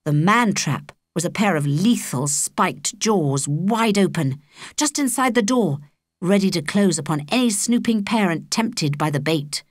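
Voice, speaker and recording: A woman with a British accent reading a children's story as an expressive audiobook narrator. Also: lowish voice, a little slow, no echo, studio quality.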